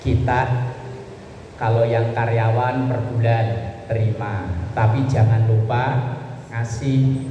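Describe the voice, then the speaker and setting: A man's voice: mid-range voice, level tone, slow, long pauses, warm tone, dull audio. A man with a Javanese Indonesian accent giving an informal Islamic sermon to a women's study group, conversational and colloquial, explaining things with repetition. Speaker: Islamic preacher. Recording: echoey reverberant hall, faint background noise.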